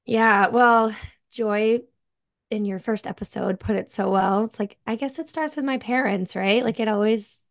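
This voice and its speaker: A woman's voice: on the high side, normal speed, creaky. A woman from upstate New York with that region's accent, telling her life story as a podcast guest in a chatty way full of "like" and "um". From upstate New York, USA. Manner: chatty, many fillers like "like" and "um". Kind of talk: casual interview chat.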